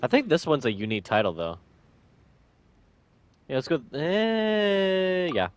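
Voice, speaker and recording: A man's voice: on the high side, big pitch swings, measured pace, clear, resonant tone. A man giving casual gameplay commentary, muttering indecisively as he thinks aloud. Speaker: gamer playing on stream. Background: game music.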